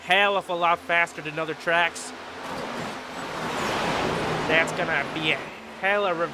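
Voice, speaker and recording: Man, stuffy from a cold, excitable play-by-play caller; high voice; loud engine noise behind. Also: unhurried. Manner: excitable, rises in pitch at restarts and wrecks. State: stuffy, a little sick. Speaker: race commentator. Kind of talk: sim racing play-by-play commentary.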